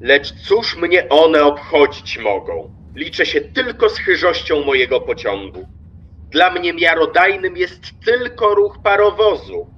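Male actor as a smug stationmaster for radio drama: highish voice, deliberate delivery, somewhat dull, noisy recording. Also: sing-song, a bit bright. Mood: dismissive. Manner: pompous, self-satisfied, deliberate. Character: smug, pragmatic railway stationmaster. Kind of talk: radio play acting.

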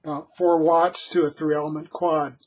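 Higher-voiced older man over radio noise. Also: flat delivery, normal speed. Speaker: amateur radio operator. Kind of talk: radio call-sign calling and brief commentary. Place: small cluttered home radio room. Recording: loud radio static and clicking noise.

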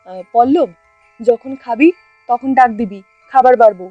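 A woman's voice: a little high, moderate pace, warm tone, no room sound, highs cut off, soft background music, natural voice. A woman playing a kindly, hospitable middle-aged village mother in a cartoon story. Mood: welcoming.

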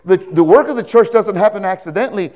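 An older man delivers a sermon in a high-set voice. He preaches emphatically, repeating key phrases and weaving in stories. He often turns to his listeners for agreement, speaking as a pastor on stage into a lapel mic. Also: quick pace, a little mellow. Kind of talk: animated sermon with anecdotes. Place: indoor church stage, speaking to a congregation.

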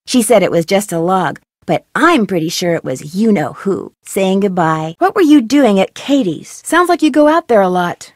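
A woman's voice: a little high, no room sound, studio quality. A woman voicing a curious young female detective in a video game, asking questions in a polite, earnest way. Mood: narrating. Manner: inquisitive, bright and earnest. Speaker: voice actor for a game heroine.

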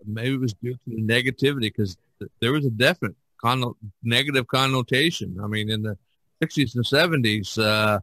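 A man's voice: medium pitch, a little fast, pushed and bright, dry recording. An older man hosting a podcast in a casual, rambling, anecdotal way, often repeating his phrases. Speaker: podcast host.

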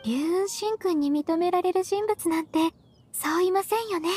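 A woman voicing a gentle, modest young woman disciple in an anime game, soft-spoken, courteous and formal. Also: extremely high pitch, normal speed, faint music behind. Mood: grateful.

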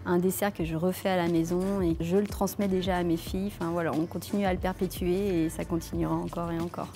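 A woman's voice: lowish voice, flat delivery, fast talker. A woman in her thirties from France, a head chef answering interview questions with many fillers and restarts, filmed in a leafy outdoor courtyard on a lapel mic. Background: soft background music.

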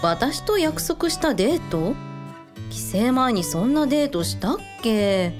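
Radio drama: wry, expressive young woman, played by an actress, high-pitched, very animated delivery. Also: unhurried, music in the background. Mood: puzzled, exasperated. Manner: expressive, muttering to herself. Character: lively, wry young woman, an eldest sister. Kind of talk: Japanese radio drama acting.

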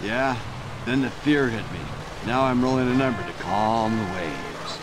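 An actor voicing a paranoid, stoned aging hippie in a video game, rambling in a spaced-out stoner drawl full of hippie slang. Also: male voice, medium pitch, lively intonation, unhurried, lightly acted. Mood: uneasy. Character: paranoid, stoned aging hippie man, laid-back conspiracy theorist. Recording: loud vehicle noise behind the voice. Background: vehicle engine and road noise.

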